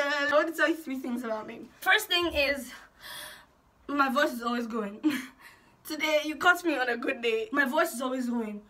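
A young woman from Ghana chatting casually and excitedly in an intro vlog, giggly and animated, recorded in a small bedroom. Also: sits high. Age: young adult. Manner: bubbly, giggly, animated, gesturing. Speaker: YouTube vlogger.

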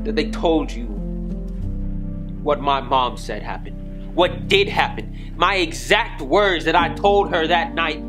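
A man's voice: medium pitch, takes it slowly, pauses often, music in the background, pitched above the performer's own voice, lightly acted. A man playing a defiant, anguished teenage boy on the run, speaking with impassioned, defensive anger in a homemade film scene. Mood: bitter, confrontational.

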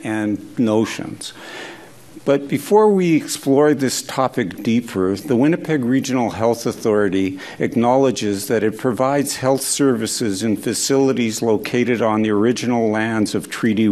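A man hosting an event as an executive, giving steady, formal prepared remarks in a conference hall. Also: mid-range voice. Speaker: health authority executive, event host.

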